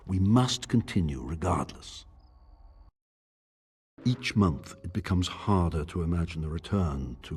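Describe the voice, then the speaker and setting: A brooding nobleman consumed by grief and obsession, reading from his private diary in a fantasy game drama. His voice is very low and heavily husky, full of breath. The theatrical, deliberate phrasing swings widely in pitch as his tyrannical madness shows through. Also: exaggerated voice. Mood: wistful, entranced. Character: grieving, obsessive lord descending into tyrannical madness. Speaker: voice actor.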